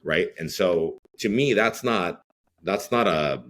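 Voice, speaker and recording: Very deep-voiced man, rambling. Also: expressive, slow, a bit bright, a little hiss or hum. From Greece. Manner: rambling, many fillers and restarts. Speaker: real estate CEO guest. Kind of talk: podcast interview.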